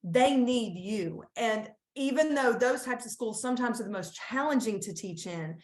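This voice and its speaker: A woman's voice: fairly high pitch, lively intonation, moderate pace, reverberant. A woman speaking to teachers at a conference over a video call, earnest and encouraging, often restarting her sentences. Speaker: school staff presenter.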